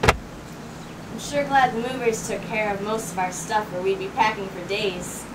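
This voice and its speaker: Woman acting brisk mom, away from mic. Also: mid-range voice, steady tone, close to an everyday voice. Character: brisk, worrying, practical mother. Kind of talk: amateur radio play acting. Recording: distant mic, noisy room.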